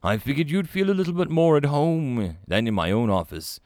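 A man voicing a rigid, businesslike human captain who speaks in a measured, formal way. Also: on the high side, very animated, a little mellow, no echo, studio quality, voice pushed up.

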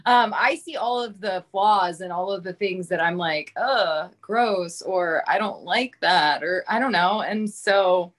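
A woman's voice: lowish voice, measured pace, few pauses, fairly bright tone. A woman in her thirties speaking as a podcast guest in casual, candid conversation, informal and self-deprecating, often saying "like". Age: 30s. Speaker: podcast guest, comedian and content creator.

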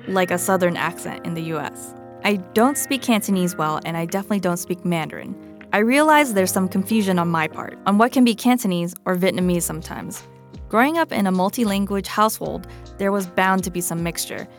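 A woman hosting a podcast, moving between scripted personal narration and interview talk. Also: medium pitch. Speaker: podcast host.

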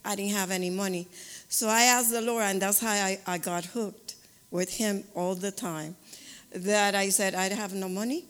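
Halting woman in a reverberant hall. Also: mid-range voice, steady tone. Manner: halting, searching for words. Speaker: congregation member giving testimony. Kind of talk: personal testimony.

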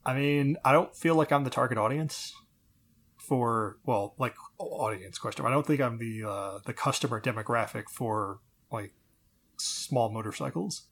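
A man's voice: mid-range voice, normal speed, fairly bright tone, husky. A man co-hosting a casual review podcast, speaking in an understated, tentative way. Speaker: podcast co-host. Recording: faint background noise.

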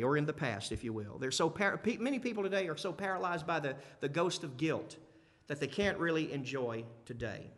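A man's voice: fairly high pitch, fairly bright tone. A man preaching a sermon in an emphatic but conversational way, repeating key phrases and putting questions to his listeners. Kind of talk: sermon to a congregation. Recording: long hall reverb.

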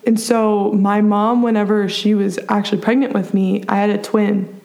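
A woman's voice: mid-range voice, flat delivery, moderate pace, gravelly, a little mellow. A woman in her early twenties from Pennsylvania, a college student speaking as a podcast guest in a bubbly way, with many fillers and easy laughs. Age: early 20s. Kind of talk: casual interview chat.

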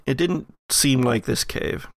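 Male game master for horror tabletop play, gravelly, deliberate storyteller, very dry recording. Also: medium pitch, no echo.

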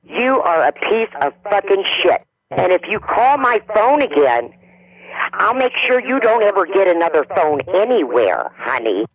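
A man voicing a hostile old woman on a phone call who curses and threatens in drawn-out words. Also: high voice, sing-song, exaggerated voice.

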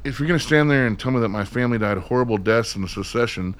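A man's voice: mid-range voice, fast, close to an everyday voice. An actor playing a cynical, irritable, hungover city man in a radio play, sarcastic and combative. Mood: angry ranting.

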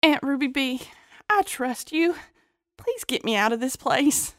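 A man's voice: extremely high pitch, animated, a little slow, sharp tone, pitched above the performer's own voice, exaggerated voice. A man voicing a scared, confused, desperate girl in a told story. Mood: pleading. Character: frightened, confused, desperate young girl pleading with her aunt.

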